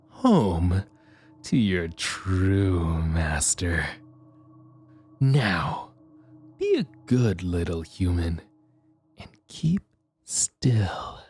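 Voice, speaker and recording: Slow, raspy, sinister vampire minion. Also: male voice, deep voice, a little mellow, heavily acted. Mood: hungry craving. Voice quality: raspy, breathy. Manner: slow, creepy, drawn-out, savoring words. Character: sinister, gleeful vampire minion, servile to his master. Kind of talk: ASMR roleplay acting.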